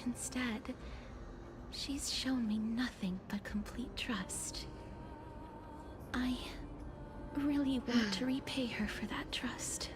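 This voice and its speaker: Game casting: a solemn, cursed young holy maiden; light high voice, unhurried, breathy and courteous, over prominent music. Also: female voice, fairly even intonation. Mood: earnest, subdued. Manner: formal, measured, hesitant. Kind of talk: scripted English video game voice acting.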